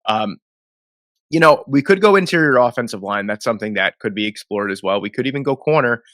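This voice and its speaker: A man's voice: mid-range voice, animated, a little fast, a bit bright, dry recording, studio quality. A man, nasal and sniffly from a cold, rambling through casual football draft commentary as the host. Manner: rambling, self-correcting, conversational.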